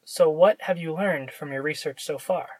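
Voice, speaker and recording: A man hosting a podcast, reading a scripted introduction and asking interview questions in a measured, read-aloud way. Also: on the high side, slightly rough, rough recording. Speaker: podcast host. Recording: music playing behind the voice. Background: guitar music.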